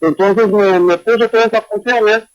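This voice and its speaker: A man from Honduras rambles easily through his story, full of fillers and asides. His voice sits somewhat high. It comes over a dull, dry remote line with little room sound. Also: normal speed, no room sound. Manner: relaxed, rambling with many fillers. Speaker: guest musician. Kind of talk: online class interview, casual storytelling. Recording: dry, dull lo-fi call audio.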